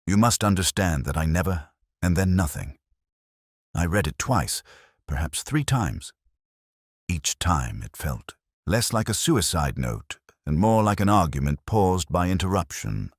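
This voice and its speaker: A man with an extremely low voice reads a first-person detective story. His delivery is measured and reflective, with lively rises and falls in pitch, and the sound is dry and close, free of room echo. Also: slightly rough. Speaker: narrator.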